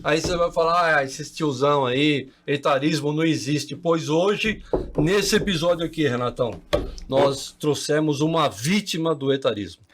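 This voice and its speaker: Slangy, swearing podcast host. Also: male voice, a little high, normal speed, noisy background. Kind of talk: casual podcast banter.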